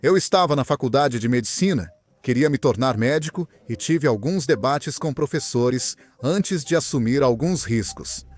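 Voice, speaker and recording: A young man from Venezuela, a guest in an interview, telling his story in an earnest, animated way. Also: mid-range voice, moderate pace, hardly any pauses. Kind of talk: interview answers, personal story.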